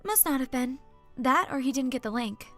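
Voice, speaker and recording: Woman playing a high-voiced, sardonic barwoman. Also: animated, normal speed, fairly bright tone, faint music behind. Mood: casual. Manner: sardonic, teasing. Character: venom-tongued, short-tempered human barwoman, wryly smirking. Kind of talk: audiobook character acting.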